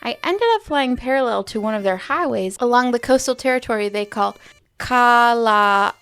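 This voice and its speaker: Young alien grad student lady, really high bright voice, flustered n rambly, audio drama. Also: moderate pace, clean tone, soft background music. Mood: nervous, explaining. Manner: hesitant, rambling, apologetic. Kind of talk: audio drama comedy dialogue.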